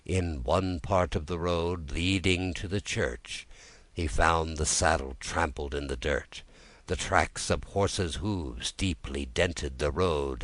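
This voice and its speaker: A man narrating a classic story as a soothing bedtime audiobook, in a gentle and measured manner. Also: low-pitched, dry recording.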